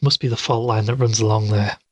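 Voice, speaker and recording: A man's voice: on the low side, steady tone, brisk, breathy edge, no echo. Man acting as a tired, easygoing podcast investigator, dry close sound. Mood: curious, tired. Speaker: podcast host and narrator character. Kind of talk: audio drama acting, first-person narration and dialogue.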